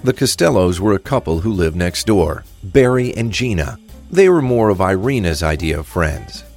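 A man's voice: deep voice, very animated, moderate pace, a little mellow, soft background music. A man narrating a scripted audio drama as storyteller.

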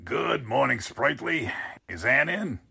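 Actor as bewildered male boss, bright forceful tone, slow and halting, swooping intonation, 1940s radio drama. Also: mid-range voice, animated, gravelly, husky, dry recording, heavily acted. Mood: genial.

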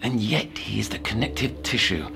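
Low-voiced, brooding scholarly man acted. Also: animated, moderate pace, gravelly, background noise. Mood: brooding, determined. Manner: formal, brooding, articulate. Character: obsessive, scholarly male therapist-researcher keeping session notes. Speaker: actor. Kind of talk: dramatic monologue, audio journal.

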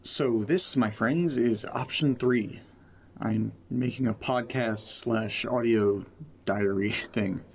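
Creaky-voiced, self-deprecating actor as amateur podcaster guy. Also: mid-range voice, takes it slowly, exaggerated voice. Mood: wry, upbeat. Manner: self-deprecating, rambling, profane, joking. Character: rambling self-deprecating young man recording an audio diary. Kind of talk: found-footage audio drama, casual podcast monologue.

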